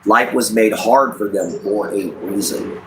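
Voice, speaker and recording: Livestream host: American male, late 30s, fairly low voice, casual and opinionated, circles back over points while addressing the audience. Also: unhurried, noisy background. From United States. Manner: addresses listeners directly, rambling and repetitive.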